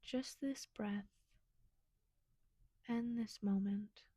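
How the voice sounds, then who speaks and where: A woman leading a guided meditation in a gentle, soothing, soft and breathy manner. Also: medium pitch, fairly even intonation, very slow, no echo, studio quality.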